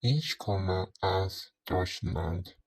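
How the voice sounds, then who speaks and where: A man playing a young man introducing himself in a scripted language-lesson dialogue, very deliberately, phrase by phrase. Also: low-pitched, gravelly, slightly rough, no room sound, exaggerated voice. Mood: plain, measured.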